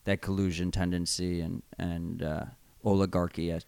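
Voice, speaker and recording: A man's voice: sits low, flat delivery, moderate pace, vocal fry, a little mellow, smooth, dry recording. A man with a New York accent, co-hosting a casual podcast, speaking bluntly and swearing now and then. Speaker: podcast co-host.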